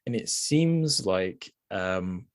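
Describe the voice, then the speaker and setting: Low-pitched man, casual podcast host. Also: animated, drawn-out words. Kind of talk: casual book podcast chat.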